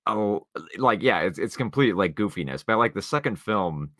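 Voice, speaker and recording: Deep-voiced man in casual film talk. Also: expressive, sharp tone, no echo, studio quality. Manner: rambling, halting, informal with profanity. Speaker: podcast co-host.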